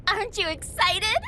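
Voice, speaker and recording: A woman's voice: very high-pitched, pushed and bright, a little hiss or hum, full character voice. A woman voicing a gluttonous, playful and menacing female android villain in an anime game, taunting and smug. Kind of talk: English anime game dub acting.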